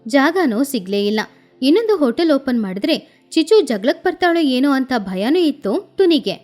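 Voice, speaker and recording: A woman's voice: sits high, very animated, a little fast, a little mellow, soft background music. A woman narrating a scripted children's story as the storyteller.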